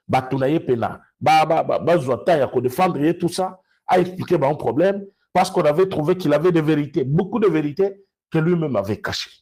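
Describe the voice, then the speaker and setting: Casting: male political commentator, Congolese accent, slightly high register, rough husky tone, emphatic unscripted delivery with repetition for stress. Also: a little mellow. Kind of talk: unscripted political commentary, switching between two languages.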